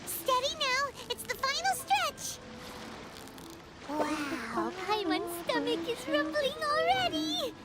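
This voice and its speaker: A woman voicing a tiny, excitable, always hungry fairy girl with a squeaky childlike voice, in anime video game style. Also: slow, heavy background noise, exaggerated voice. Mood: excited, cheering. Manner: exclaiming, cheerleading, speaks of self in third person. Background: game music.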